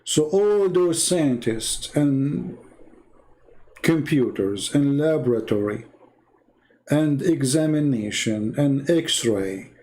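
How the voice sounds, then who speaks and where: Man, gravelly voice, rambling, scornful, middle pitch. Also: lively intonation, slow pace, darkish tone. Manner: rambling, scornful, repeats himself. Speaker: online commentator. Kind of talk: casual monologue.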